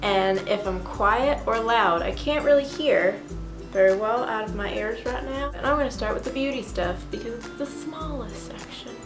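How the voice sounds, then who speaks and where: A young woman with a stuffy, sniffly cold, chatting casually and gushing about her monthly favorites at home. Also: medium pitch. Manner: chatty and gushing, with many fillers. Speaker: beauty vlogger. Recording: roomy echo with music behind.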